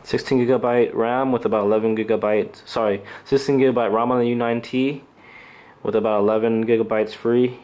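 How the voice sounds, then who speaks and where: A man with a medium-pitched voice hosts an unscripted tech review. He talks off the cuff, halting and hesitating as he works through his commentary. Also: steady tone, a little mellow. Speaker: tech reviewer and channel host.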